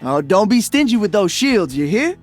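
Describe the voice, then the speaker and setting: A man's voice: sits high, very animated, normal speed, faint music behind. An actor voicing an upbeat, cocky young male DJ hero in a video game, breezy, exuberant and slangy. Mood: upbeat, friendly. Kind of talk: video game character voice lines.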